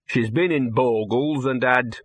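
A man's voice: mid-range voice, unhurried, dry recording, natural voice. A man voicing a small scruffy talking dog in an audiobook, a streetwise know-all with a level, sardonic mutter. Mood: smug. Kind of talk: audiobook character voice.

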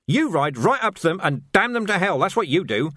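A man's voice: high voice, wildly swooping pitch, normal speed, a bit bright, dry recording, studio quality, voice pushed up, full character voice. A man voicing a sneering, menacing male villain who bursts into manic rage. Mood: ranting.

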